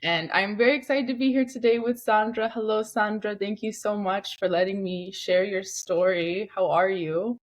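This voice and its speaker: A woman's voice: mid-range voice, steady tone, moderate pace, few pauses. A woman hosting an interview show, talking in a conversational way with her guest. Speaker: podcast host and interviewer.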